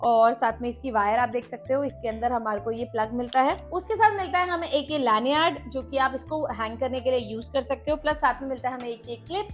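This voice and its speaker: A woman's voice: high-pitched, a little fast, hardly any pauses, soft background music. A woman with an Indian accent presenting a casual product review, explaining step by step and mixing in English words.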